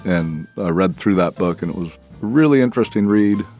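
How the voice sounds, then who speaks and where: A man's voice: deep voice, animated, normal speed, darkish tone, faint music behind. A man from Texas, a bar co-owner and cocktail maker, answering interview questions in a laid-back, easygoing way.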